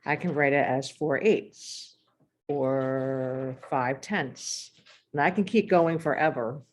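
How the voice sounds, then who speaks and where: A woman teaching a math lesson while losing her voice, clearing her throat and walking through examples step by step. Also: very deep voice, slow.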